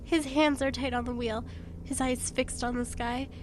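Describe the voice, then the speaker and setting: A woman's voice: high-pitched, fairly even intonation, normal speed, slight background noise, close to an everyday voice. An actress playing an anxious, wryly dramatic woman in a radio drama. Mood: uneasy, reflective. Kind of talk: radio drama acting with narrated inner monologue.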